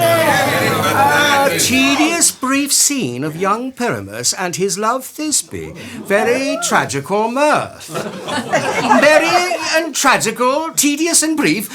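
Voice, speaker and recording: An actor playing a grand, genial duke in a Shakespearean radio play, declaiming theatrically. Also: male voice, high voice.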